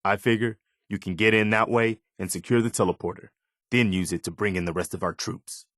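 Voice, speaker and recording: Casting: male actor as steadfast, hopeful militia officer for a game; lowish voice, sincere measured delivery, close dry studio sound. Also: steady tone, fairly bright tone, dry recording, natural voice. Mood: determined, briefing. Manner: sincere, measured, plain-spoken. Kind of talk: video game dialogue acting.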